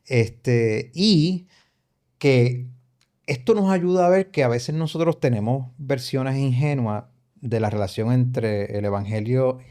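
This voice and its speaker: A man in a podcast discussion with an ordinary middle-pitched voice. He speaks in a measured way and sets out his points formally, one step at a time. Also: dark tone. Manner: measured, lays out arguments formally. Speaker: podcast co-host. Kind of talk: casual church discussion.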